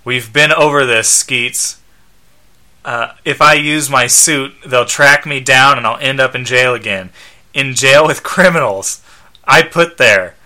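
A man performs a has-been comic book superhero who drinks and snaps at people. The delivery is loud-edged and very bright, curt, bitter and defensive, at a middling pitch. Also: unhurried. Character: bitter washed-up male superhero, drinking, gruffly irritable. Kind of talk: comic book read aloud.

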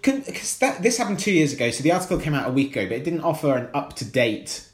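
A man with an animated, swinging voice joins the chat as a podcast co-host. He throws in dry jokes and quick reactions. The sound carries a roomy echo. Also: on the high side. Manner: wry quips and laughing interjections. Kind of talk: casual comedic chat.

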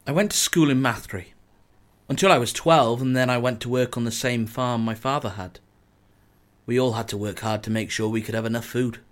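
Man playing a grave young soldier, mid-pitched. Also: a little fast, close to an everyday voice. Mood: reflective. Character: Tommy, grave teenage WWI soldier from Wales. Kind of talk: radio play monologue.

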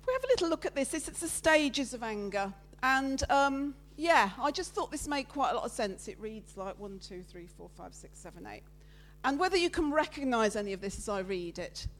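Woman, preacher, loud voice, chatty, raised pitch, interactive church talk. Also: a little fast. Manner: loud, lively, chatty with the congregation. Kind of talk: interactive sermon with slides. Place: church meeting room with listeners present.

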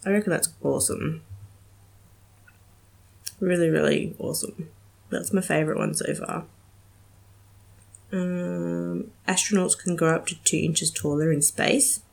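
Low, gravelly-voiced young woman podcaster. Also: fairly even intonation, a little slow, long pauses, a little mellow. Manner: chatty, giggly, many ums. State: slightly nasal from a cold. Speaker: podcast host. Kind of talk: casual solo podcast chat.

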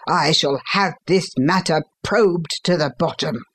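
A man's voice: on the high side, unhurried, husky. A man voicing an anguished, outraged Victorian father in an audiobook, agitated and accusing. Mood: stern fury.

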